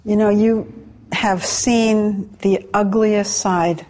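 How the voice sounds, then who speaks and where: A woman interviewer asks her guest curious, personal questions. Her tone is mellow and relaxed. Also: medium pitch, slow.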